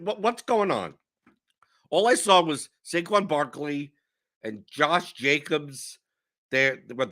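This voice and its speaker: A young man, hoarse with a sore throat, hosting a casual fantasy sports livestream, rambling and cutting himself off. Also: on the high side. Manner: animated, rambling, self-interrupting. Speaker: host of a fantasy sports stream.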